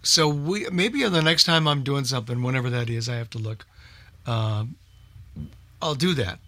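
A man's voice: mid-range voice, normal speed, pushed and bright. A man presenting a radio show in casual group chat, thinking aloud in a hesitant way.

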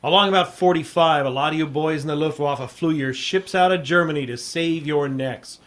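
An actor playing a tough, sardonic American reporter in a 1950s radio drama, with wry, clipped delivery. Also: male voice, fairly high pitch, moderate pace, a bit bright, close to an everyday voice. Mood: sardonic, assured. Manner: hard-boiled, clipped, wry. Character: tough, sardonic American newspaperman hero and narrator.

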